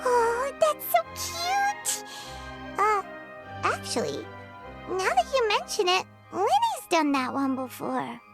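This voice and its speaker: Super high chirpy little fairy buddy, slow and bouncy, music going in the back. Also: female voice, huge pitch swings, full character voice. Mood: gentle. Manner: talks about herself in third person, eager and bubbly. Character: small floating fairy companion, chirpy and excitable. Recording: game audio with music behind.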